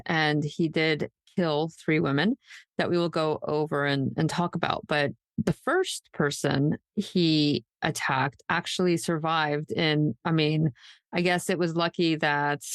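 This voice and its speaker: A woman's voice: sits low, no room sound, clean studio sound. A woman hosting a true crime podcast in a casual, loosely unscripted, conversational way. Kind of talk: casual co-hosted podcast chat.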